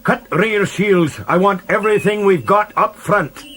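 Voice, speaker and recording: A man's voice: high voice, measured pace, a bit bright, a little hiss or hum, pitched above the performer's own voice. A man voicing a stubborn, combative young cadet captain giving blunt orders in a science fiction audiobook. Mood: commanding. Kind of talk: audiobook character dialogue.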